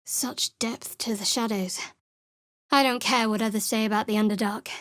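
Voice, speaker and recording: An actress gives voice to a secretive young cleric, a woman with a cool, dry wit who keeps everyone at arm's length. Her tone sits fairly high, and she delivers her lines close to the mic in a dead, dry studio. It is polished video game dialogue. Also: fairly even intonation, normal speed, a bit bright, dry recording, close to an everyday voice. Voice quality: cool, wry and guarded. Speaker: game character. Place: studio booth.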